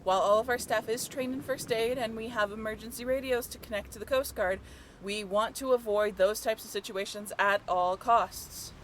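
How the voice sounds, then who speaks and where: A woman's voice: a little high, fairly even intonation, normal speed, a little hiss or hum, lightly acted. A woman playing an upbeat young tour guide on a sailing ship in a scripted audio roleplay, with a chatty, playful way of talking. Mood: brisk, instructive. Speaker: role-play voyage guide.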